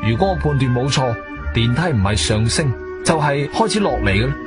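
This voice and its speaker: A man's voice: medium pitch, a little fast, close to an everyday voice. A male actor playing an agile, intense adventurer who narrates his own radio drama in suspenseful Cantonese. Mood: suspenseful narrating. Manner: animated, suspenseful storytelling. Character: agile martial-arts-trained adventurer, urgent and intense. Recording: studio radio drama with music behind.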